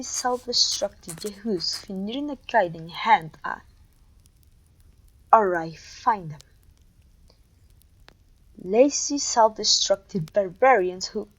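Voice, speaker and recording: A woman's voice: mid-range voice, husky, poor audio. A woman playing a haughty, domineering evil queen and sorceress in a scripted roleplay audio, imperious, scornful and theatrical. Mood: scornful, exasperated. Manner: imperious, scornful, theatrical, with sighs and disgusted groans.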